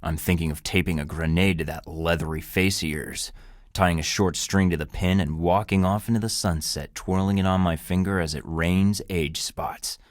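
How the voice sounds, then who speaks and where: A man voicing a deep-voiced, cocky American spy. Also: fairly even intonation, normal speed, no room sound, studio quality, natural voice. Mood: threatening. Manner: wisecracking, flirty and menacing by turns. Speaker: game protagonist. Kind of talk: video game voice acting.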